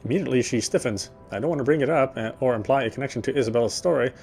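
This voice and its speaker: A man's voice: mid-range voice, rapid-fire, vocal fry, darkish tone, soft background music, lightly acted. An actor playing a weary male city detective, narrating in the first person with a wry inner voice.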